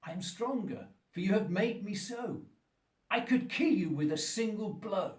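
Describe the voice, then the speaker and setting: Wretched eloquent monster, very high voice, radio play, grandiloquent, roomy and distant. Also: male voice, expressive. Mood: menacing. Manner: slow, grandiloquent, pleading and menacing delivery. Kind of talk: theatrical radio play acting. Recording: roomy echo, distant from the mic.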